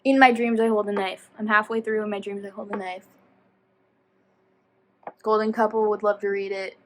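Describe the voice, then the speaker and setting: A woman's voice: medium pitch, level tone, normal speed, a bit bright, a little hiss or hum. A woman chatting casually to viewers as a book vlogger, rambling and self-deprecating as she goes off on tangents. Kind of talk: casual chatty video talk.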